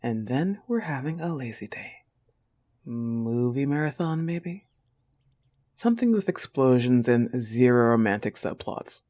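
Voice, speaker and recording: A man voicing a possessive but tender young goth wolf girlfriend, soft-spoken, in an intimate audio roleplay. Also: medium pitch, lively intonation, a little slow, lightly acted. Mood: relieved, playful.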